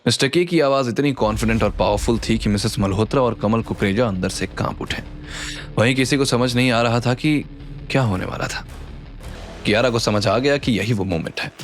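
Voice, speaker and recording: Male narrator for an audio drama series, mid-pitched, suspenseful and emphatic, music bed underneath. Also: brisk. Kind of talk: dramatic scripted Hindi story narration, voicing the characters.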